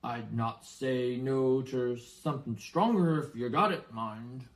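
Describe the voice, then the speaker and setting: A man voicing a huge, gruff, friendly giant gamekeeper from a storybook, hearty and blunt, with rustic colloquial talk. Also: medium pitch, slow. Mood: jovial. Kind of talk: storybook character voice.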